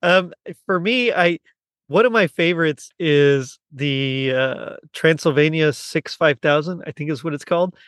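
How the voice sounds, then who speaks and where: A middle-aged man with a fairly high voice chats casually as a podcast host. The sound is very dry, with no room echo. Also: measured pace, a bit bright. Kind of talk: casual chat, fan discussion.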